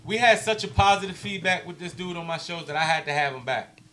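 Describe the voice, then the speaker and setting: Male host, casual live show, big loud voice, bright tone. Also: fairly high pitch, animated, fast. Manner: loud, says "man" a lot, big voice.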